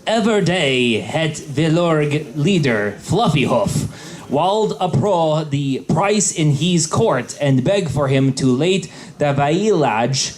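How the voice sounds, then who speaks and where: A man's voice: a little high, expressive, takes it slowly, a little mellow. A man reading comically misspelled text aloud at a live panel, with crowd noise in the convention room. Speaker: panel reader.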